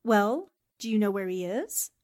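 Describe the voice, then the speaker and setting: A woman's voice: medium pitch, animated, measured pace, a little mellow, lightly acted. A woman playing a determined, eager newspaperwoman in the style of 1940s radio drama. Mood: puzzled, exasperated. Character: determined, eager newspaperwoman investigating a haunted house.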